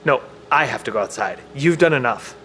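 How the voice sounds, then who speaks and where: An earnest, protective man who knows his equipment in an audio drama. He has a medium-pitched voice over faint background noise. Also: animated, brisk, pushed and bright. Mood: determined. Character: earnest, protective, technically minded male survivor.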